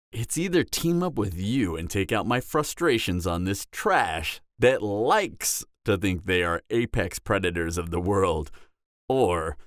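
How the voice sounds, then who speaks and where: A man's voice: fairly low pitch, no room sound, studio quality. A man playing a cocky, flirtatious and dangerous vampire in an audio roleplay, teasing the listener with a smug, seductive delivery. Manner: teasing, smug, seductive drawl with dramatic pauses. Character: cocky, flirtatious, dangerous adult male vampire, former killer.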